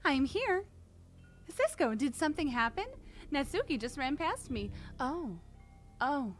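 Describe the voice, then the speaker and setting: A woman's voice: sits high, sing-song, a little slow, soft background music, pitched above the performer's own voice. A woman reading the lines of a cheerful, apologetic teen girl club president from a game. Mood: chatty. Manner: bright, chatty and apologetic. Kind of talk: reading game dialogue aloud.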